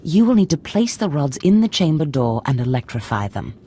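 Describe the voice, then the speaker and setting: A silky scheming Sith lord. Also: female voice, sits low, sing-song, fairly quick, dark tone, breathy edge, full character voice. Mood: imperious. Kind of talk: game voice acting.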